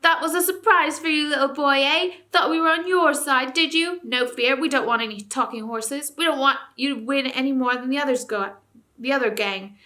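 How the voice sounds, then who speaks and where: Woman doing high sneering jeering dwarfs. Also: moderate pace, sharp tone. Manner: sneering, taunting. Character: jeering gang of scornful male dwarfs.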